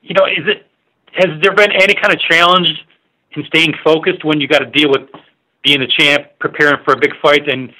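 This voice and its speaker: Male sports reporter on a conference call: bright, slightly high voice, informal, stumbling questions. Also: fairly even intonation, low-quality recording. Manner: halting, rambling, informal questions. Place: remote on a media conference call.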